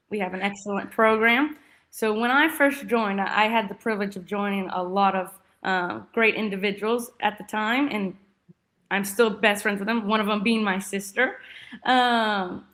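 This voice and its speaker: A woman's voice: medium pitch, a bit bright. A young American woman from Texas chatting as an interview guest, enthusiastic and effusive. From Texas, United States. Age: young adult. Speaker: interview guest, former college soccer player. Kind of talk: casual interview chat.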